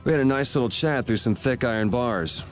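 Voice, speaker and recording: A man's voice: mid-range voice, a little fast, natural voice. Man playing a cool, sardonic young rebel. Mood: casual, wry. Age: young adult. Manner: casual, dry, sardonic. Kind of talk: dramatic video game voice acting. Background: background music.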